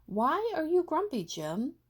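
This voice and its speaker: A cheerful, nosy jungle animal from a picture book voiced by a woman. It coaxes and questions with well-meant eagerness, lifting into a higher pitch. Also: huge pitch swings, unhurried, full character voice. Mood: cheerfully puzzled. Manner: bright, coaxing questions and suggestions.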